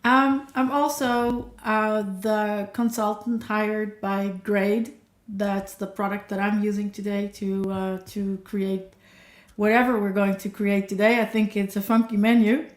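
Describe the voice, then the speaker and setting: A talkative woman, speaking with lively energy as a guest on a live stream. Her voice comes through a video call in a roomy, echoing space, with a medium pitch. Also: unhurried. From Netherlands. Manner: chatty, energetic, self-described nonstop talker. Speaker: guest, accessibility coach. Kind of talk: livestream guest intro.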